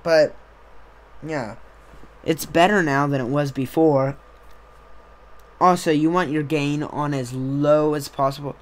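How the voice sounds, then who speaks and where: A young man, slightly hoarse, chatting casually on a live stream into a desk mic on a boom arm, rambling with many fillers. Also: fairly even intonation, slow pace, pauses often. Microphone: desktop USB mic on a boom arm.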